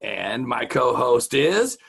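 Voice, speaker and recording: Male host, chatty teacher, lively intonation, gravelly rasp, playful banter. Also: medium pitch, slow pace, noisy background. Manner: animated, jokey, chatty. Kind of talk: casual vocabulary lesson with banter.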